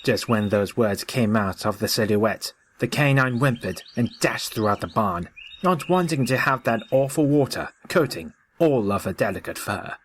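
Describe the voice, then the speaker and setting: A man narrating a scripted story in an expressive, theatrical way. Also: on the low side, normal speed, a little hiss or hum.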